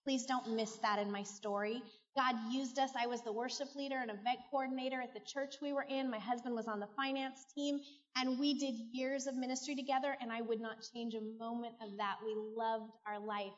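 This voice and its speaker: High-pitched American woman, echoing hall. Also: steady tone, brisk. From California, United States. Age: middle-aged. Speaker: guest giving personal testimony. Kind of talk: personal testimony to a church audience. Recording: muffled, echoey large hall.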